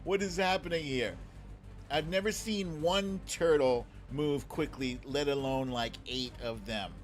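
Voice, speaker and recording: Unhurried man chatting playfully on a livestream. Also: a little high, soft background music. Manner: playful, slangy, repeats phrases. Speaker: live stream host. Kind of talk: casual live commentary, chatting with viewers.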